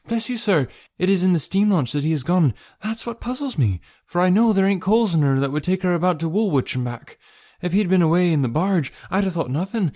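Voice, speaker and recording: A man's voice: high voice, a little mellow, pitched above the performer's own voice. A man voicing an anxious, talkative working-class English wife in an audiobook, folksy and deferential. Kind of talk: audiobook character voice.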